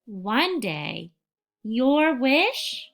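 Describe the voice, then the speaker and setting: A woman reading a picture book aloud for young children and giving gentle instructions, phrasing things deliberately and carefully. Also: a little high, big pitch swings, gravelly.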